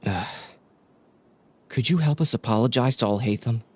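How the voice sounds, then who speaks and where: A man playing a humble, resigned former follower in a video game, contrite, with a husky voice. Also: mid-range voice, normal speed, a little mellow, lightly acted.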